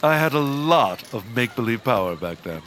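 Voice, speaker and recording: An actor playing a greedy, scheming land baron with a pompous, theatrical, drawn-out delivery in a comic audio drama. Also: male voice, medium pitch, lively intonation, a bit bright, a little hiss or hum, natural voice. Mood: nostalgic, grand.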